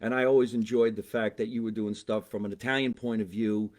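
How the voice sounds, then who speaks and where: A man's voice: on the low side, steady tone, fairly quick, a little mellow. A man hosting a podcast as a stand-up comedian, chatting casually and joking in a chummy way with his guest. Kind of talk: casual chat interview.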